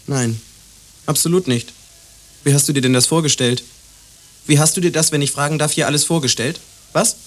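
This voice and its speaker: A man's voice: a little high, steady tone, fairly quick, long pauses, close to an everyday voice. An actor in a German radio play playing a moody young man who is brooding, volatile and insistent. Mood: agitated. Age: young adult. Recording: faint background noise.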